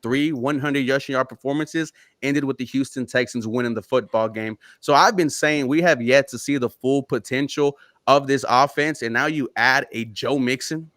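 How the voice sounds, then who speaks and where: A man on a casual sports podcast, breaking down his team's roster with excited, rapid-fire analysis. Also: mid-range voice, dry recording, studio quality. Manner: excited, fast-flowing football analysis. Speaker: podcast guest.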